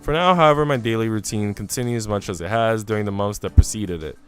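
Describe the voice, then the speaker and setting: A man's voice: fairly low pitch, quick pace, a bit bright, clean tone. A man streaming, reading a visual novel aloud with casual, chatty asides. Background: music.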